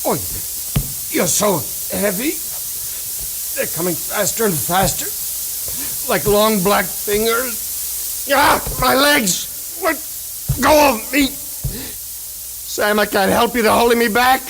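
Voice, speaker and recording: An actor playing a headstrong, curious man in a vintage American radio horror drama, gravelly and melodramatic, on a hissy old recording. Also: high-pitched, fairly bright tone, heavily acted, low-quality recording. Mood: urgent dread. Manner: slow, intense, melodramatic delivery. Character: headstrong man called Doc, curious, then gripped by terror. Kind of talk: 1930s-40s American radio horror acting.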